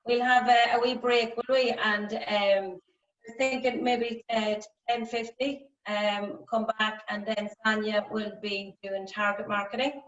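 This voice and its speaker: A woman's voice: fairly high pitch, flat delivery, measured pace, fairly bright tone. A woman facilitating an online workshop, chatty and rambling with many ums and likes. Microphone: video call. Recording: distant, roomy, lo-fi call audio.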